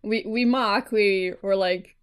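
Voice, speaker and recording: A woman's voice: medium pitch, fairly even intonation, slow pace, clean tone. Woman, podcast co-host, casual chat, dry humor. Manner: dry, joking remarks.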